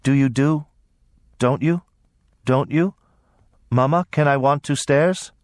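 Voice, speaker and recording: A man's voice: mid-range voice, slow, no echo, voice pushed up, natural voice. A simple-minded young girl in an audiobook, played by a man, speaks in a raised, thick, weak voice. She sounds childlike and parrots her phrases over and over. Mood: anxious, childlike. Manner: repetitive, childlike. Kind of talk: audiobook character voice.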